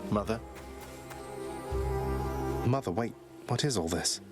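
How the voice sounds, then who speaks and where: An actor playing a defiant young prince of the underworld, an articulate and earnest son, in a dramatic video game. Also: male voice, lowish voice, lively intonation, takes it slowly. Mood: bewildered surprise. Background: game music.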